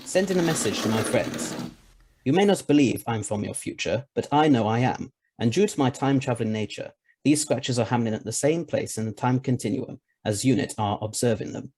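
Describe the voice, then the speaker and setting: A man playing a self-assured, principled time-travelling scientist in an audio drama, speaking formally and with measured authority. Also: medium pitch, expressive, darkish tone, breathy edge. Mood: brisk, assured.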